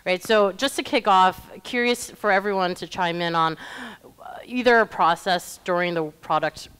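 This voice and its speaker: A woman's voice: lowish voice, moderate pace, fairly bright tone, smooth. A woman moderating a live panel discussion in an informal, encouraging way.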